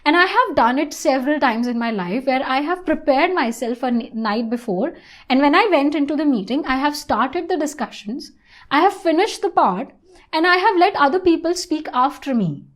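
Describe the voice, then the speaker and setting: High-pitched woman presenting an English lesson. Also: animated, normal speed. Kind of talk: scripted instructional narration.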